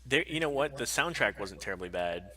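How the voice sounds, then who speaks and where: A man's voice: medium pitch, lively intonation, fairly quick, bright, forceful tone. A man co-hosting a podcast live stream, chatting casually and bluntly with opinions and plenty of swearing.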